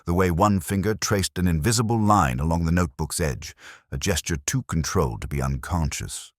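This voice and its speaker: A man reading a scripted literary detective story in the first person, with a measured, formal, reflective delivery. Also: low voice, no room sound, clean studio sound. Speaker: audiobook-style detective story narrator.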